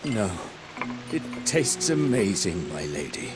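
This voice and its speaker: An actor playing a devoted, courteous elderly butler in a video game, polite and gentle, with music behind. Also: male voice, fairly low pitch, sing-song, slow.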